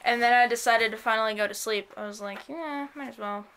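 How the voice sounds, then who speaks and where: A woman's voice: on the high side, a little fast, fairly bright tone, a little hiss or hum. A young woman vlogger with a lisp, chatting casually and rambling in a small bedroom, filmed on a close camera. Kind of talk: casual chat.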